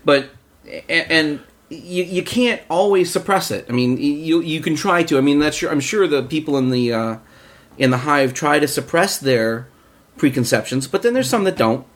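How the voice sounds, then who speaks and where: A man with a fairly high, very animated voice joins a casual group discussion. His pitch moves around a lot as he repeats and rephrases his points. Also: moderate pace. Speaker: panel participant. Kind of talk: casual round-table discussion.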